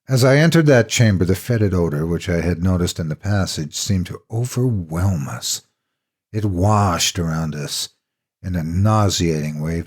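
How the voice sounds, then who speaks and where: A man narrating a scripted horror story in a theatrical, deliberate and ominous manner. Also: deep voice, darkish tone, breathy edge.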